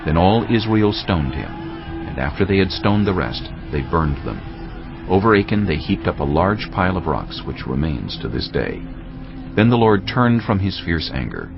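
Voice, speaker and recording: A man narrating a dramatized Bible as scripted storytelling, measured and dramatic. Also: low-pitched, big pitch swings, vocal fry, dull audio, music in the background.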